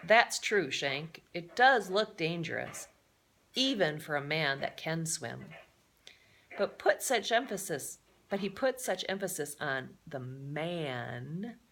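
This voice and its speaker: Slow, animated woman reading a storybook aloud. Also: sits low. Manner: expressive, with explanatory asides to listeners. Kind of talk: dramatic read-aloud of a children's adventure novel.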